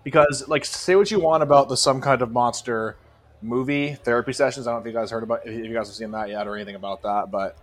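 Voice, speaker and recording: A man talking as a podcast co-host with a medium-pitched voice. He gives casual, opinionated commentary on music and swears freely for emphasis. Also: fast.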